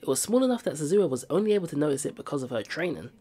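A man's voice: on the high side, very animated, rapid-fire, warm tone. A man reading a game's story text aloud for a playthrough, with small stumbles and restarts. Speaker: let's play narrator. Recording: game music playing behind.

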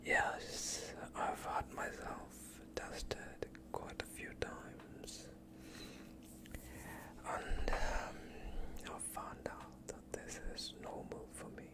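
A young man playing a polite vampire doctor in an ASMR roleplay, soothing and evasive with a sinister undertone, speaking in a hushed voice close to the mic. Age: young adult. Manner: hushed, slow, courteous, evasive. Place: quiet indoor studio, dark backdrop. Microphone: close off-screen microphone.

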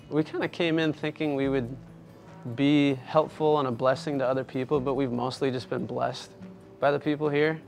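An earnest man in his thirties talks in an upbeat, easy way about training people, his voice at a middle pitch. He is recorded in a workshop, with faint music underneath. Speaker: small business owner. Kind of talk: casual interview. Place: furniture workshop.

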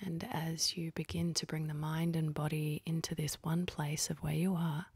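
A woman leading a guided meditation in a soft, husky, breathy voice, speaking calmly and gently with unhurried pauses. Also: low voice, dry recording. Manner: calm, gentle, unhurried instructing with long pauses.

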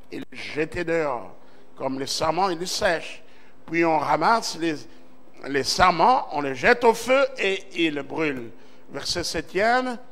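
High-voiced preaching pastor from Central Congo. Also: male voice, sharp tone. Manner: preaching with emphatic swells and call-and-response amens. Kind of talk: sermon. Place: church service.

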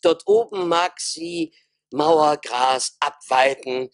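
A man's voice: on the high side, slow pace. An actor playing a self-important wise townsman, solemn and declamatory in a radio play. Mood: grandly resolute. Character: self-important wise man of a town of fools. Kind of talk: stage-like radio play acting.

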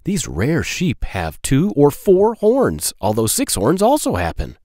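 A man in his thirties reads aloud for young children in a close, dry recording. His pitch swings widely and the phrasing is simple and clear, as a storytime host talks. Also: huge pitch swings, a little slow, dark tone, no room sound.

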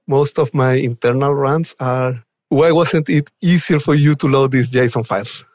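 A man with a Chilean Spanish accent talks casually as an interview guest. His voice sits at a medium pitch and his manner is enthusiastic. The sound is dry, with little room to it. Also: unhurried, a little mellow, no room sound. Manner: enthusiastic, chuckles while talking. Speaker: guest, software developer advocate. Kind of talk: casual podcast interview chat.

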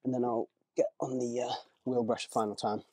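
A man in a casual vlog, talking through his car wheel cleaning outdoors in a relaxed, rambling way, with small self-corrections, his voice moving closer and farther from the camera. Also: medium pitch, brisk, husky, no room sound. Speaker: car rental host. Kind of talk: casual vlog narration while working.

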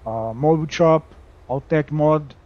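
A Brazilian man with a Brazilian Portuguese accent, chatting casually over gameplay, halting, with many fillers and restarts. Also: a little high, lo-fi sound. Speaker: gaming YouTuber. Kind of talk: casual game commentary.

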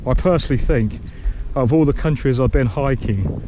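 Rambling man outdoors in loud wind. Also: medium pitch, moderate pace. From Britain. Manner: hesitant, many ums and restarts. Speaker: hiker filming his own trail vlog. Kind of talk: casual rambling chat to camera. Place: open grassy headland by the sea, outdoors.

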